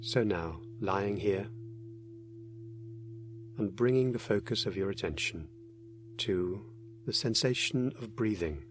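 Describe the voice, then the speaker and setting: A man with a low, deep voice leads a guided meditation. He gives his instructions gently and without hurry, leaving frequent pauses between phrases. There is clear noise in the recording behind him. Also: animated.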